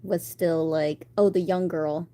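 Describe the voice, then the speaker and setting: A woman's voice: lowish voice, fairly even intonation, unhurried, dark tone. A woman in her thirties chatting casually on a live stream, rambling about her day and answering viewers. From United States. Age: 30s.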